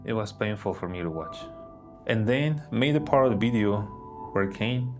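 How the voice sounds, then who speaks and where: A man's voice: fairly low pitch, lively intonation, normal speed. A man narrating a personal story for his video channel, earnest and reflective. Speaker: vlogger. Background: soft guitar music.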